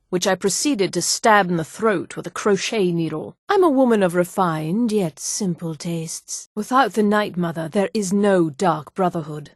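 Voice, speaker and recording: An actress voicing a haughty, poised high elf woman, a darkly devout assassin in a fantasy video game, with a formal, measured and dryly superior manner. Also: no room sound, studio quality, close to an everyday voice. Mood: dry, sardonic. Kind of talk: scripted video game character dialogue.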